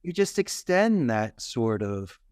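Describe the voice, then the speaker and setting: A man's voice: mid-range voice, sing-song, takes it slowly, a little mellow, clear, resonant tone, no echo, studio quality. Emphatic Philly-accented man in his fifties. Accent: Philadelphia. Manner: emphatic, speechy delivery. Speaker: guest. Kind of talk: interview storytelling.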